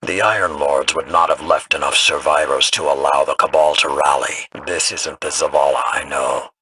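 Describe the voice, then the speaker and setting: An actor playing a stern, proud old immortal warlord in a video game, gravelly and raspy, speaking in a grave, formal way. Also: male voice, extremely low pitch, steady tone, normal speed, pushed and bright, slight background noise, poor audio. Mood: stern, disdainful. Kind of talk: scripted video game dialogue.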